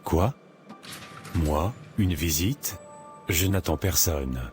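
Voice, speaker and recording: Un homme qui double un petit malfrat de dessin animé, affolé quand il est acculé. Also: male voice, sits low, drawn-out words, a little mellow. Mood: suspicious. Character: adult male small-time criminal, cornered and panicky, later repentant. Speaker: cartoon character. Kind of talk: animated drama dubbing. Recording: music playing behind the voice.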